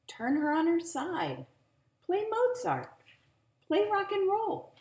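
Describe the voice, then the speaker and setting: A woman's voice: very high-pitched, wildly swooping pitch, slow, darkish tone, pitched above the performer's own voice, exaggerated voice. A woman voicing busy storybook neighbors who eagerly give advice in emphatic, clipped instructions. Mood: bossy, eager. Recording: roomy home recording with echo.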